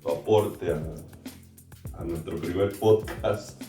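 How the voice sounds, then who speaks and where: A man's voice: deep voice, slow, dark tone. A man hosting a podcast in a conversational interviewing style. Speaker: podcast host. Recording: distant mic, roomy echo, faint music.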